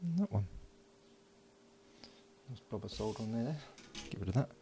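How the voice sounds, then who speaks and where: A man giving relaxed, matter-of-fact running commentary as he solders at a home workbench, recorded by an overhead camera. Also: lowish voice, moderate pace, a little hiss or hum. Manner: mutters along while working, relaxed and matter-of-fact. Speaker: electronics hobbyist. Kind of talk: casual build commentary.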